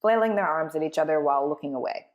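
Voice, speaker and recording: A woman hosting as a voice director, narrating and explaining in a clear, articulate way. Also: sits low, fast talker, background noise. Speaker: voice director and host.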